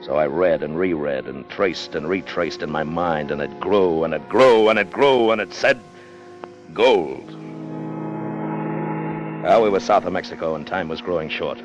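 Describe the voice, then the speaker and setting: A man's voice: deep voice, a little slow, lo-fi sound, over music. An American man acting a hard, greedy, commanding ship's officer in a 1950s radio drama, clipped and intense. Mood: brooding narration. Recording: noisy vintage 1950 radio recording.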